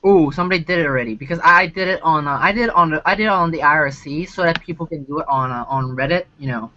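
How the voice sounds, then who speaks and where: High-voiced man on a call. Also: fast talker, sharp tone, dry recording. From Hong Kong. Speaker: stream host. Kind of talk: casual online voice chat. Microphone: online voice call. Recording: lo-fi call audio with faint noise.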